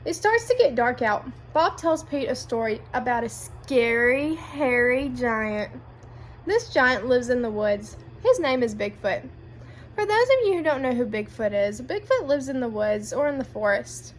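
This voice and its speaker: Female picture-book reader, high lively voice, asks kids guiding questions, light background noise. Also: measured pace. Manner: addresses children with guiding questions. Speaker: read-aloud storyteller. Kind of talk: animated children's picture book narration.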